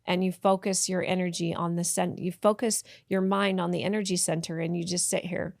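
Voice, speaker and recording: Frank woman with a gravelly low voice. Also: level tone, brisk, dry recording, studio quality. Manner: frank, reflective, with restarts and soft asides. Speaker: podcast guest, tantra teacher. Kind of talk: candid conversational storytelling.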